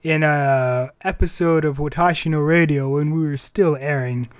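Higher-voiced man hosting a casual podcast. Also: fairly even intonation, normal speed, smooth, dry recording. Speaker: podcast host.

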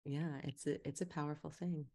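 Woman with a lowish voice, podcast guest, just talking things through casually and reflectively. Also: steady tone, moderate pace, dark tone. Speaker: podcast guest, Human Design teacher.

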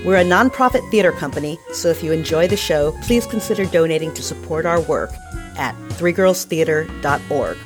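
Lowish-voiced woman over prominent music. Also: lively intonation, a little fast. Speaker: artistic director introducing a radio play. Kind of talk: scripted introduction. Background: guitar music.

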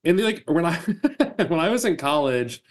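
Male co-host, slightly sick and sniffly, jokey and quick to laugh, casual indoor podcast chat. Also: fairly high pitch, sing-song. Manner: joking, laughs often. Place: indoors at home.

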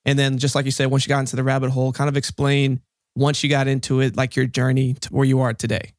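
A man's voice: medium pitch, monotone, fast talker, no echo, clean studio sound. A man hosting a podcast, talking in a casual, upbeat interviewer's style. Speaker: podcast host.

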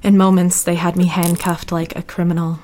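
A woman's voice: lowish voice, flat delivery, fairly quick, darkish tone, a little hiss or hum, lightly acted. A woman playing an anguished, defiant teenage girl in love, reading her diary in a dramatic monologue. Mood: bitter, pained.

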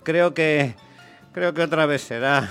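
Man acting good-natured quiz caller, fairly high voice, hesitant, self-deprecating, faint music behind. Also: slow pace, a bit bright, clear, resonant tone. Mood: resigned, amused. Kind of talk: radio comedy drama, phone-in caller.

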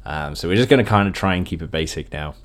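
Guy with a lowish voice doing solo podcast chat, jokey and rambly. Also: expressive, fast. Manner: rambling, jokey, self-interrupting. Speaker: podcast host.

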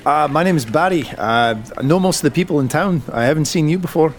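A man chatting casually as a player in a tabletop game, in a hesitant, halting way. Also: fairly high pitch, expressive.

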